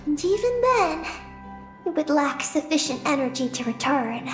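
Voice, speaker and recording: A woman playing an earnest, determined young girl on a mission in a video game, speaking haltingly and solemnly. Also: high voice, very animated, reverberant, faint music behind.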